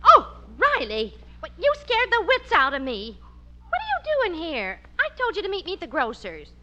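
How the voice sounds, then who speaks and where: Fed up american wife n mom, no nonsense, very animated high voice, old hissy radio show. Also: female voice, moderate pace, lo-fi sound, exaggerated voice. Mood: startled, scolding. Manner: scolding, indignant. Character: exasperated, no-nonsense middle-aged wife and mother. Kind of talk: 1940s radio sitcom acting. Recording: noisy old radio recording.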